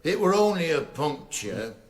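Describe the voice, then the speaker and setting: Old English country uncle, hesitant, plain-spoken, slow, raised pitch, radio play. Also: male voice, big pitch swings. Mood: earnest, flustered. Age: older. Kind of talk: radio drama acting.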